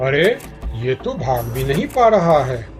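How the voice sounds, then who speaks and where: A man's voice: medium pitch, sing-song, slow pace, over loud music. A man voicing a sly, hungry crocodile villain in a cartoon story, gloating and scheming. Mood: smug, predatory. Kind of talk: Hindi cartoon story dialogue.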